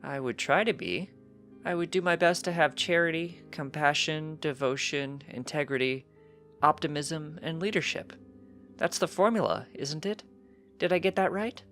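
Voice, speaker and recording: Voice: medium pitch, moderate pace, fairly bright tone, soft background music, voice pushed up, close to an everyday voice. A narrator voicing a precocious young pony colt, earnest and cheeky, chatting eagerly in a matter-of-fact way for a story reading.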